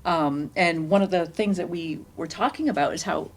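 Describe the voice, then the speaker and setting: A woman speaking as a theater panelist in an informal discussion, halting at times and emphatic, often repeating words for emphasis. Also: fairly low pitch. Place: indoor library event room.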